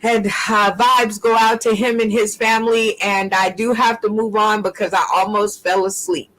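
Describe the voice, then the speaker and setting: A woman hosting a casual talk show, playful and laughing often, with drawn-out phrasing. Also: medium pitch, steady tone, fairly bright tone, low-quality recording. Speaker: host. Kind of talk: casual chat.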